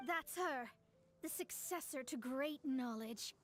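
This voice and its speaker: A woman's voice: sits high, slow pace, fairly bright tone. A woman playing a proud, earnest young female astrologist in a video game, speaking in formal, pompous, theatrical phrases. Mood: self-assured. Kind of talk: anime-style video game voice acting.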